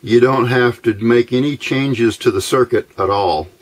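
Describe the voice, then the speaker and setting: A middle-aged American man giving a casual, halting instructional narration as an electronics hobbyist, recorded indoors at a workbench on a camera microphone. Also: medium pitch. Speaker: electronics hobbyist demonstrating a homemade receiver.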